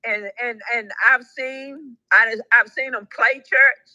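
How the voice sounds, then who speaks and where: A woman in her sixties, calling into a live show, talks in a lively, outspoken, folksy way. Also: fairly high pitch, slow pace, no room sound. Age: 60s. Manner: animated, outspoken, folksy church talk. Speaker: caller on a live audio show. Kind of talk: casual chat. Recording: dull, lo-fi app audio, dry.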